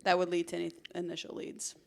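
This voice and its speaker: A woman's voice: fairly low pitch, monotone, fast, vocal fry. A young American woman hosting a podcast, telling a true crime story in an informal, conversational way. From Arizona, United States. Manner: informal, chatty. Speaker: podcast host.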